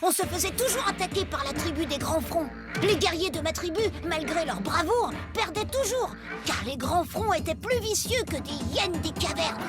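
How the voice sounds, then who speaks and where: Squeaky, excitable little caveboy. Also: female voice, normal speed, few pauses. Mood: indignant, wary. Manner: exclamatory, dramatic, comic made-up curses. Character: excitable prehistoric caveboy creature, small, boastful, suspicious. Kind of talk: animated cartoon dubbing. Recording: loud music behind the voice.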